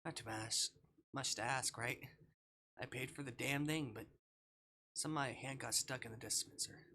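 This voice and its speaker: A man voicing a shy, self-deprecating student ghost in a visual novel, speaking in a hushed, halting way. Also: medium pitch, a little hiss or hum. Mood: subdued, ashamed.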